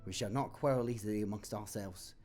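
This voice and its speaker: Humble clerk father, tender and soft-spoken, a man voicing him. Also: lowish voice, brisk, soft background music, close to an everyday voice. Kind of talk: audio drama acting.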